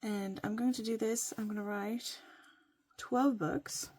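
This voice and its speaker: A woman from Norway, whose first language is Norwegian, chatting casually in a playful, rambling way that sometimes drops to a soft whisper while she writes in her journal. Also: on the high side, steady tone, measured pace. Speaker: vlogger.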